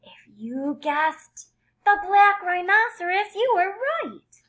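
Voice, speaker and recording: Slow-paced woman teacher reading to young children. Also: extremely high pitch. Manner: deliberate, expressive, explains words simply for children. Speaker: kindergarten teacher reading aloud. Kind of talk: read-aloud lesson for young children.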